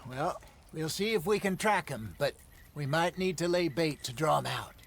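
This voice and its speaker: An actor playing a seasoned, easygoing American frontier hunter and outlaw with folksy talk in a video game cutscene. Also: male voice, a little high, lively intonation, moderate pace, vocal fry. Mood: calm, instructive.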